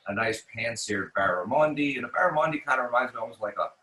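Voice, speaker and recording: American guy chef chatting in an interview, sharp bright voice, mid pitch, bit of a roomy echo. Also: expressive, fast talker. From New York City, United States. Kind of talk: casual interview talk.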